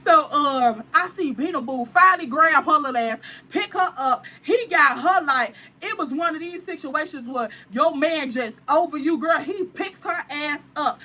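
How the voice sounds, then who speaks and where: A woman vlogger telling a wild story with animated, profane, dramatic flair. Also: high voice, moderate pace. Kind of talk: storytime vlog.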